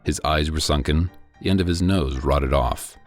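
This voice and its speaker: A man with an extremely low, deep voice narrates a classic novel in a measured, dry manner. Faint music plays softly behind him. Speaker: audiobook reader. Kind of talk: scripted narration.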